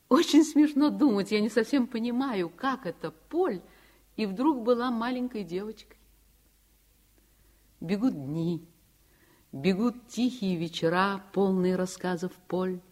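A woman narrating childhood memories in a radio play. Her delivery is slow, measured and lyrical, with heartfelt literary phrasing at a medium pitch. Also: a little mellow.

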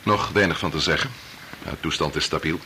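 An actor playing an authoritative older doctor and father, measured and formal, with a Dutch accent in a radio drama. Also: extremely low pitch. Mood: formal, resolute. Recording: noisy old radio drama recording.

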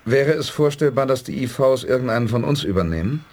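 A man's voice: mid-range voice, animated, brisk, a little mellow. Man in command, curt and wary, very varied intonation, mid register, radio-play acting. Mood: probing. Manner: curt, questioning. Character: brisk, wary male commander in charge.

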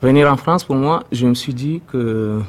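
A young man from Sudan, native Arabic speaker, giving a hesitant personal testimony with many pauses. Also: medium pitch. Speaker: interviewee, refugee.

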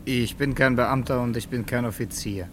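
An actor playing a young revolutionary student who narrates in the first person in a radio play, earnest and tense. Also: male voice, medium pitch, steady tone, moderate pace, background noise, close to an everyday voice.

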